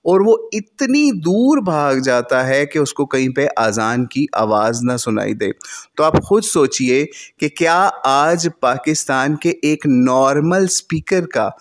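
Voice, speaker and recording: Earnest man lecturing, higher-pitched voice. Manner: earnest and lecturing, citing hadith by number. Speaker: religious commentator. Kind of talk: argumentative religious rebuttal.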